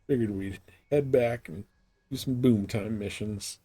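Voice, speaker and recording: A man's voice: on the low side, a little slow, mellow, husky. A man giving casual, folksy live commentary as he streams a game, laid-back in manner.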